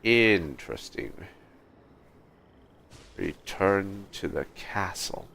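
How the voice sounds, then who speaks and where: Man, game livestreamer, gravelly, lively intonation, casual musing commentary, laid-back. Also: low voice, drawn-out words, a little hiss or hum.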